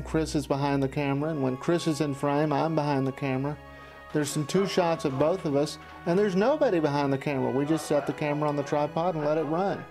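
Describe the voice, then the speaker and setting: A man's voice: on the high side, creaky, mellow. A middle-aged American man narrating a look back at his amateur filmmaking. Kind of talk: retrospective narration to camera.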